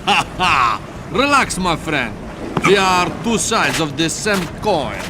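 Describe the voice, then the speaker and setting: An actor playing an arrogant, menacing mafia boss in a video game, speaking formally and imperiously. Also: male voice, sits high, very animated, takes it slowly, background noise, heavily acted. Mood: smug. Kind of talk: video game cutscene acting. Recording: loud music over the dialogue.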